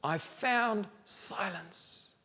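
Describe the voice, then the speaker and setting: A man's voice: high-pitched, very slow, bright, forceful tone, a little hiss or hum, voice pushed up, exaggerated voice. A man voicing an exasperated, searching young storybook boy, dramatic and exclaiming. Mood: hopeful. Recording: hall reverb from a stage.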